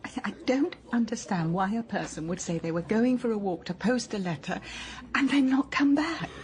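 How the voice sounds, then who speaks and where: An actress playing an older wife left at home, speaking in a hesitant, halting, often hushed way. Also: female voice, mid-range voice, expressive, close to an everyday voice. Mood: wistful. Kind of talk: radio drama acting.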